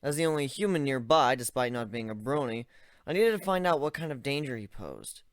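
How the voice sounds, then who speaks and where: Male amateur reader, wry first-person narration, animated, dry mic. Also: mid-range voice, brisk, no room sound. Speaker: story reader. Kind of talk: first-person dramatic reading.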